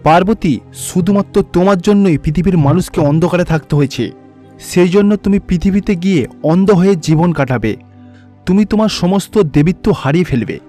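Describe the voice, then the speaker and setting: Cartoon deity, man performing: high male voice, wrathful, grave, reproachful, music behind. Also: warm tone, natural voice. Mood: wrathful, condemning. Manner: grave, reproachful, pronouncing judgement. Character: stern, wrathful male god scolding his wife.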